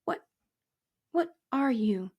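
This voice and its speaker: A woman's voice: fairly high pitch, normal speed, a little mellow, pitched above the performer's own voice, close to an everyday voice. A woman voicing a small, timid young female mouse in a storybook, stammering and pleading anxiously. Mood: fearful, stammering.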